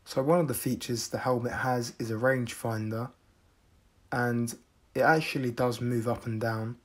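A man from the UK narrating a casual product review in a measured, deadpan manner. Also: mid-range voice. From United Kingdom.

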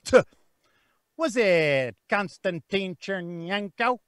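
A man voicing an eccentric, rambling female author in a live comedy stream, with a muddled German-American hybrid accent and a halting, repetitive delivery. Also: high voice, animated, fairly bright tone, no echo, full character voice. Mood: quizzical, halting. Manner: halting, rambling, repeats himself.

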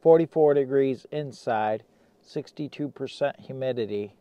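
A man from Michigan vlogging casually from inside a parked car, talking low-key and half to himself. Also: unhurried, dry recording. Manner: low-key, quiet, half-muttered asides. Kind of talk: casual vlog commentary. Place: inside a parked car, cold and windy outside. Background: faint wind outside.

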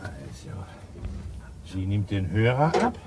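An actor playing a shrewd, sardonic, dogged police inspector in a German radio crime drama, commanding and dry with muttered asides. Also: male voice, on the low side, huge pitch swings, slow pace, background noise, full character voice. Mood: probing.

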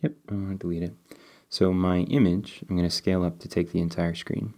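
A man's voice: deep voice, brisk, creaky. A man talking through a game-development tutorial step by step while working on screen, still a bit sniffly from a cold. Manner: relaxed, step-by-step, thinking aloud with fillers. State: sniffly, getting over a cold. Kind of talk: screen-recorded coding tutorial.

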